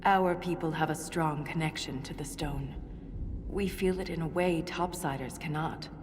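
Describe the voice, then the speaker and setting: An actress playing an earnest dwarven scholar in a video game, speaking in a measured, scholarly way. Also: female voice, deep voice, noisy background, lightly acted. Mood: reverent. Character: earnest female dwarven scholar exploring underground ruins. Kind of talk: video game cutscene acting. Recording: long cavernous reverb, music underneath.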